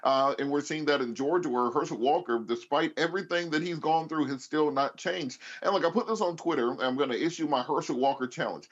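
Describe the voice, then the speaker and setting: A man speaking as a panelist, giving emphatic, off-the-cuff political commentary and arguing his points. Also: fairly high pitch. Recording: dull, lo-fi remote feed.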